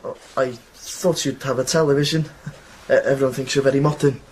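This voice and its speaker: An actor playing a nervous, earnest young village lad in a radio drama, hesitant and stammering. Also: male voice, medium pitch, steady tone, brisk, a little hiss or hum, natural voice, rough recording. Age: young adult. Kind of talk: naturalistic radio drama dialogue. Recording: faint background ambience.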